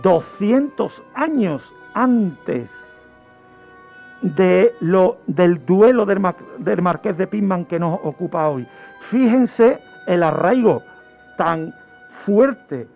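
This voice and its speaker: A man's voice: sits high, expressive, slow, gravelly, darkish tone. A man presents a true-crime radio segment, explaining emphatically and repeating phrases for effect. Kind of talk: storytelling explanation in a radio talk. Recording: dull lo-fi radio audio, music underneath. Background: background music.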